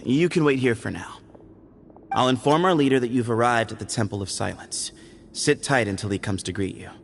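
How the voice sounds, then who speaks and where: A man voicing a sly, playful young man in a video game, teasing and evasive, with a relaxed manner. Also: mid-range voice, a little fast, vocal fry, slight background noise, lightly acted. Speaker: game character.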